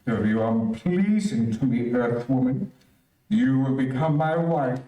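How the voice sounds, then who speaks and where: A man's voice: mid-range voice, a little slow, mellow, dull audio, close to an everyday voice. A man playing a merciless, haughty alien emperor in an old-time radio adventure, pompous and commanding. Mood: cold, gloating. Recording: echoey, distant streamed stage audio.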